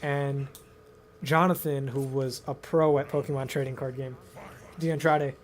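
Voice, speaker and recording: A man's voice: mid-range voice, fairly even intonation, unhurried, slight background noise. A young American man telling stories casually to a friend, rambling and slangy, often repeating himself. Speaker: video host. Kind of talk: casual storytelling chat with a friend.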